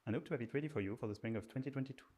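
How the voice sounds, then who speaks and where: A man reading scripted narration as the instructor in a course introduction video, with a brisk, informative delivery. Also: medium pitch, a little mellow.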